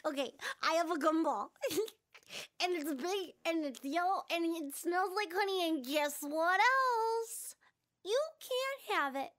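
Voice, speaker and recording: A woman's voice: extremely high pitch, lively intonation, slow pace, a bit bright, full character voice. A woman voicing a bratty, boastful little girl with a childish, taunting, giggly manner in an acted monologue. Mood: smug teasing.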